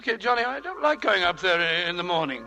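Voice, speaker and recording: An actor playing an amiable, childlike upper-class English gentleman who stammers and blusters, in a 1940s radio drama. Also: sits high, very animated, fast talker, full character voice. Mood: puzzled, genial. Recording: vintage radio broadcast with rough audio.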